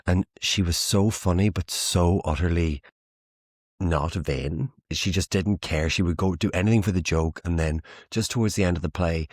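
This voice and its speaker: A young Irish man in his twenties, chatting in an interview as a theatre maker, self-mocking and quick to laugh. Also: a bass voice, darkish tone, husky, dry recording, clean studio sound. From Ireland. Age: 20s. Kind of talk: casual interview chat.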